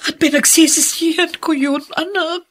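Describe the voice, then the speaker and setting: A remorseful Filipino mother in a radio melodrama. Her voice is high and imploring, begging her grown child for forgiveness. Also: steady tone, normal speed, a bit bright. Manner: tearful, imploring. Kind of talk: melodramatic radio drama acting.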